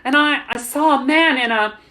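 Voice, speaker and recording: A woman voicing a nervous, earnest young servant girl in a storybook, halting and stammering. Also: high-pitched, pushed and bright, pitched above the performer's own voice, low-quality recording. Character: nervous, feral twelve-ish servant girl, blurting and earnest.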